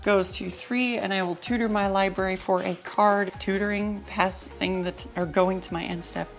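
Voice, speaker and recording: A woman's voice: mid-range voice, fairly even intonation, moderate pace. A young woman playing a card game at a table, methodically reading card text aloud in casual chat. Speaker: player. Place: indoor studio at a game table.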